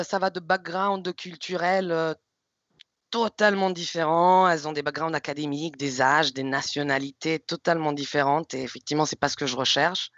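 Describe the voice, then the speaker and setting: A woman from Luxembourg, around her thirties, speaking in an expressive, candid and emphatic way as a manager on a career panel. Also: low-pitched, no room sound. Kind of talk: informal panel presentation and storytelling. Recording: slightly dull, lo-fi audio.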